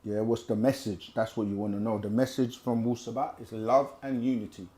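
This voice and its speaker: A man's voice: mid-range voice, level tone, brisk, dark tone. A man hosting a live question-and-answer stream, casually reading viewer questions aloud and answering them at length. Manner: conversational, repeats himself, reads chat aloud.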